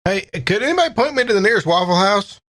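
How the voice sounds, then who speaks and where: A man's voice: sits high, expressive, fast talker, noisy background. A man playing a tabletop game, improvising casually in a playful, folksy, joking manner.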